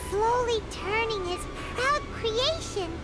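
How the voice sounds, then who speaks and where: Game role: little wide-eyed companion, piercingly high childlike squeak, unhurried delivery, music underneath. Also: female voice, expressive, exaggerated voice. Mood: curious, awed. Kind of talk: scripted video game character acting. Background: loud music.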